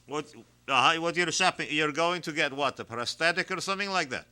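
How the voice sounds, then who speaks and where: A man hosting a comedy radio show, teasing his guest in a deadpan manner and stammering on restarts. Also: a little high, brisk, smooth.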